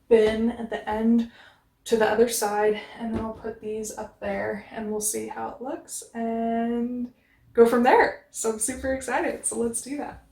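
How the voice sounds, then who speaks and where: A young woman vlogging casually about organizing shoes, upbeat and chatty with a booming voice, recorded on a camera mic in a small bedroom. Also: warm tone. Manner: chatty, upbeat, says she has a booming voice. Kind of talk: casual how-to vlog. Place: small bedroom with shoe bins. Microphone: camera mic, close then from across the room. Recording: echoey room.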